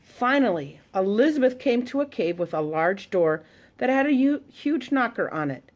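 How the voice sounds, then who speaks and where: A woman telling a children's story to a congregation, expressive and animated. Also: fairly high pitch, normal speed. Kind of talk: reading a children's story aloud.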